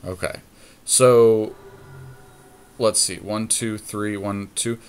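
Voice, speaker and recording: A man co-hosting casual gameplay commentary on a card game, thinking out loud with jokey banter. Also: fairly low pitch, steady tone, slow pace, clean tone. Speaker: podcast co-host playing an online card game.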